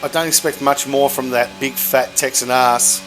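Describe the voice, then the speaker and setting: A man commentating a hot lap on an online racing broadcast, brisk and cheeky. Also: medium pitch, steady tone, a bit bright, soft background music. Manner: brisk, cheeky and matter-of-fact.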